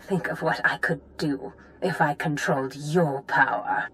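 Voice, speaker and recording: An actress playing a sly, gloating old sorceress villain in a video game, taunting with mock sweetness. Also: female voice, low voice, fairly even intonation, a little slow, fairly bright tone, rough recording. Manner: theatrical, purring, taunting.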